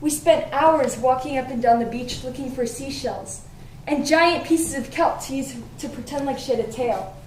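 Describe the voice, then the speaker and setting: A woman's voice: high-pitched, darkish tone, natural voice. Woman playing a loving forgetful mother, tender, earnest, diary monologue, echoey stage recording, faint noise. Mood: fond, nostalgic. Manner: tender, earnest, measured recitation. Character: loving, forgetful middle-aged mother reading her diary. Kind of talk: dramatic monologue in a one-act play.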